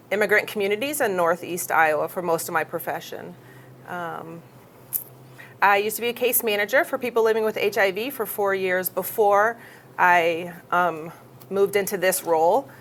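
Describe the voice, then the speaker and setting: A woman's voice: on the low side, a bit bright, slight background noise. A woman from the United States, an HIV educator on a panel, explaining things carefully and a little nervously. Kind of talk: panel discussion.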